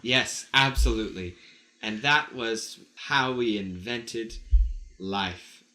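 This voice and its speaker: A man's voice: fairly low pitch, slow, sharp tone, rough recording. A man voicing a zealous, eccentric female mad scientist, theatrical and grandly matter-of-fact, in an improvised comedy radio play. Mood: triumphant.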